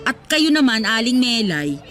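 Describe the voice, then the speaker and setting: A woman's voice: a little high, sing-song, normal speed, fairly bright tone. A woman playing a feisty, cheeky young adult, fiercely loyal to family, in a radio drama. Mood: defiant. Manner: sassy, teasing back-talk. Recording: music underneath.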